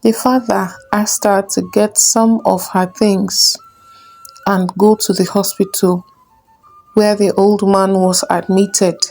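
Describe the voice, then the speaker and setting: A woman narrating a folktale in a measured, simple storybook manner. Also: mid-range voice, level tone, darkish tone, faint music behind.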